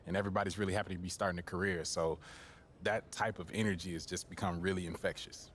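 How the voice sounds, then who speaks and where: Deep-voiced young American basketball prospect. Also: male voice, fairly even intonation, fast, a bit bright, slight background noise. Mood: relaxed, diplomatic. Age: young adult. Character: confident, easygoing young male basketball draft prospect. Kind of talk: naturalistic video game cutscene acting.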